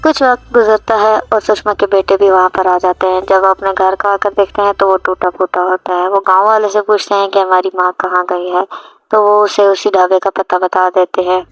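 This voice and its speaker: A woman's voice: medium pitch, level tone, moderate pace, dry recording. A woman reading a scripted story as its narrator, with music playing behind her. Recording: dull lo-fi audio, music behind. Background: background music.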